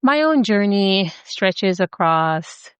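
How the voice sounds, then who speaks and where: A middle-aged woman from Jamaica with a Jamaican accent, hosting a podcast introduction in a measured, deliberate way, with rhythmic lists of words. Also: mid-range voice, expressive, clear, resonant tone, dry recording. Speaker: podcast host.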